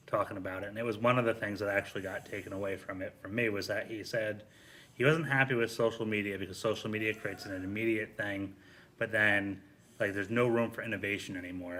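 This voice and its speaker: A man chatting casually as a firefighter panelist, recounting things in a halting, anecdotal way. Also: fairly low pitch, a bit bright, slight background noise. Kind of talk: casual chat.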